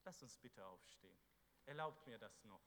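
A man preaching a sermon to a congregation, fervent, moving between loud exhortation and quiet, earnest passages. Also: fairly low pitch, lively intonation, normal speed, gravelly. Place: church hall. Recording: echoey hall with faint hum.

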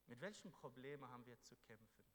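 A man preaching a sermon to a congregation, fervent, moving between loud exhortation and quiet, earnest passages. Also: mid-range voice, expressive, fast talker. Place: church hall. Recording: echoey hall with faint hum.

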